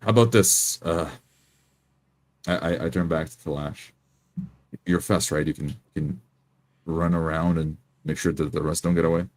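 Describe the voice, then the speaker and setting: A man's voice: sits low, fairly even intonation, normal speed, pauses often, a little mellow. A man playing a tabletop roleplay, speaking firmly in character and describing his own actions.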